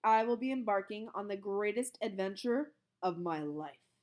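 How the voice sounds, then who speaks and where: Woman voicing a nervous, stammering male teacher, squeaky, high-pitched, bouncy delivery. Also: expressive, moderate pace. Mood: cheerful. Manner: stammers and squeaks when scared. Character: stammering excitable male teacher, easily frightened.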